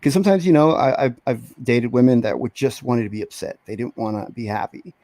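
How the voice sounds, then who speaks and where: A man from Texas talks casually as a guest, joking around and laughing between his words. His voice sits mid-range and sounds mellow. It is recorded with a dry sound. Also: medium pitch, a little fast, dry recording. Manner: joking, laughs often, restarts. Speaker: guest, comic book inker. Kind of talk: casual chat.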